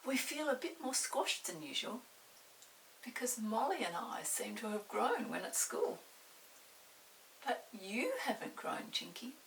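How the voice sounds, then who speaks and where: A woman's voice: on the high side, sing-song, a little slow, creaky, a bit bright, pitched above the performer's own voice, full character voice. A woman voicing an eager, earnest young child in a storybook, exclaiming in a raised voice. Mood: warm, curious. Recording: distant mic with hiss.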